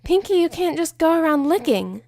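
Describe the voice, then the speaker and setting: A woman's voice: very high voice, big pitch swings, moderate pace, a little mellow, no echo, clean studio sound, pitched above the performer's own voice, full character voice. A woman voicing an exasperated young female unicorn pony, scolding in manner.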